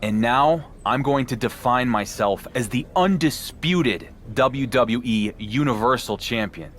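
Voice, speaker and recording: A man's voice: mid-range voice, unhurried, a bit bright, natural voice. A man playing a cocky, defiant champion wrestler in a video game, confident and pointed in his talk. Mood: defiant, determined. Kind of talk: dramatic video game dialogue. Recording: faint background noise.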